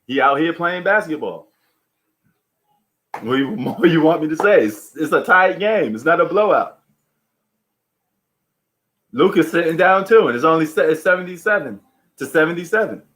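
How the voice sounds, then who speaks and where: A man's voice: fairly high pitch, animated, normal speed, long pauses, a bit bright. A man hosting a live sports stream, chatting casually with slangy, bantering commentary. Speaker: livestream sports host.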